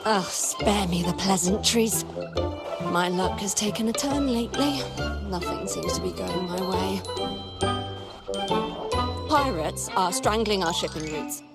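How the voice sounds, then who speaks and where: A woman's voice: medium pitch, measured pace, pauses often, over loud music, lightly acted. A woman voicing a melodramatic, self-pitying game character who complains dramatically about bad luck. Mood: dramatic self-pity. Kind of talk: video game voice acting.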